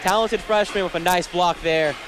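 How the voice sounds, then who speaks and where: A man's voice: sits high, moderate pace, poor audio. A young man giving live analysis as a color commentator on a volleyball broadcast.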